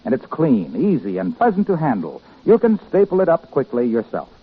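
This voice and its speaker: A man's voice: mid-range voice, wildly swooping pitch, a little fast, husky. A man announcing for a 1950s radio sponsor in a polished, salesmanlike delivery. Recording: muffled 1950s radio broadcast.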